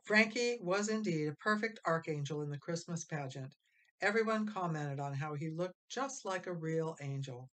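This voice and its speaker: A woman reading a children's story aloud as expressive narration, dramatic, with drawn-out emphasis on key phrases. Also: sits low. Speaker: storybook reader.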